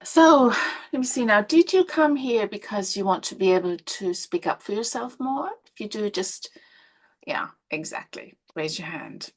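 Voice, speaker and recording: Gentle Irish woman hosting a webinar. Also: medium pitch, animated, normal speed. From Ireland. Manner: gentle, encouraging, drops to soft asides. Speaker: webinar host and women's coach. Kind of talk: live webinar talk to participants.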